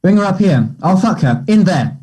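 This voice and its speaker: Anxious young man, husky and soft-toned an actor reading. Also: high-pitched, sing-song, exaggerated voice. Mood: defensive, agitated. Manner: hesitant, halting delivery. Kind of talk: play table read.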